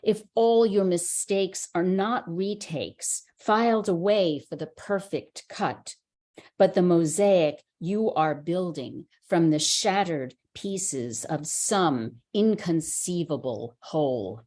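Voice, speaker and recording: Slow, measured woman reading poetry. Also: lowish voice, dark tone. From United States. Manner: measured, unhurried recitation. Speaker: poet. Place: reading at a writers center.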